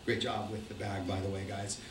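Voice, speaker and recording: A man's voice: deep voice, level tone, fairly quick, a loud crowd. A middle-aged man with an Argentine accent, telling a profane, wry travel story to a live audience. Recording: roomy, distant mic.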